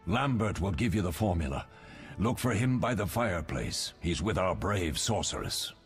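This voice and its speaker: An actor playing a weary, fatherly older warrior mentor in a fantasy game, measured and grave. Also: sits low, very animated, soft background music. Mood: steady, resolved.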